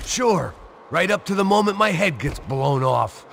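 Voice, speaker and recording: An actor playing a bitter, world-weary middle-aged gunman, narrating in a sardonic, deadpan noir style with a gravelly voice. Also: sits high, a little slow, a little hiss or hum, full character voice. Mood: dry, sardonic. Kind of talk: hardboiled noir game narration.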